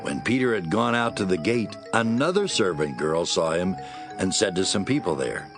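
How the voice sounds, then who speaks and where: A man's voice: low-pitched, very animated, normal speed, music in the background. A man narrating scripture as a scripted dramatic reading.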